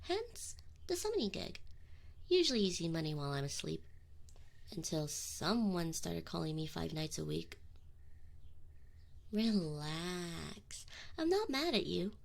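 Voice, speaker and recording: A woman's voice: lowish voice, very animated, a little slow, creaky, long pauses, heavily acted. A woman voicing a teasing, flustered but affectionate succubus in an intimate ASMR roleplay, close to the microphone with whispered asides. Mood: casual, explaining, then coy, affectionate. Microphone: close binaural microphone.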